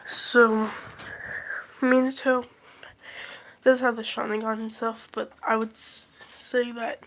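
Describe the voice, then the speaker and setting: A young woman chats casually to her viewers, her voice hoarse because she is getting sick. She talks slowly and in a flat, even tone, often correcting herself mid-thought. A faint hum of room noise sits behind her. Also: fairly high pitch, rough recording. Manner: rambling, many fillers and self-corrections. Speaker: channel host. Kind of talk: casual fan-theory chat.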